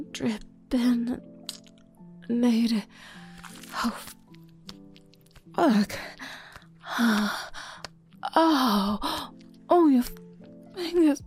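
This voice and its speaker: Woman acting out a playful seductive server, breathy whisper, drawn-out slow delivery, gravelly fry. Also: a little high. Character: flirty, teasing adult woman server. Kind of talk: intimate audio roleplay.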